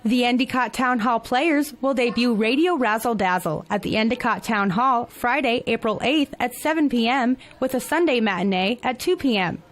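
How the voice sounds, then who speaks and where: A woman news reporter reading scripted local news stories in a measured, even delivery. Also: on the high side.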